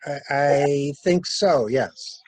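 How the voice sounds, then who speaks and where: A man's voice: on the high side, extremely slow, no echo. A man acting a shrewd, blunt male traveler in a mystery drama reading, with clipped, wry, probing delivery. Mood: skeptical, curious. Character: male stranded traveler, shrewd, blunt, coolly inquisitive stranger.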